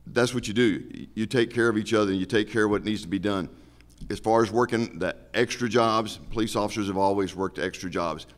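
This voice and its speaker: A man speaking as a law officer and candidate at a public forum, making his case in an emphatic, plain-spoken way. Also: lowish voice.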